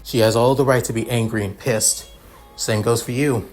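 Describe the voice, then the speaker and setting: Casting: male narrator as composed female hero, soft-mannered and sorry, halting condolences, storytelling over light music. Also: mid-range voice, steady tone, close to an everyday voice. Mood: sympathetic. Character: calm, apologetic woman government hero offering condolences.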